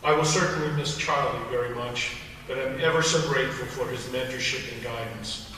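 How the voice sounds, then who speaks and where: Man, first language Hungarian, bright voice, measured earnest reading, distant mic in a big reverberant hall. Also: medium pitch, fairly even intonation, a little hiss or hum. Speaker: alumnus and college trustee speaking at a memorial. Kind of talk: prepared memorial tribute and poem reading. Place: large hall at a memorial service. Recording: distant echoey hall recording.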